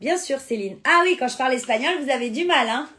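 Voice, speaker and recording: A woman's voice: high voice, lively intonation, fast, pushed and bright. A woman whose first language is Spanish, chatting casually on a live stream as she sells beauty products and answers viewers' comments. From born in France, Spanish family.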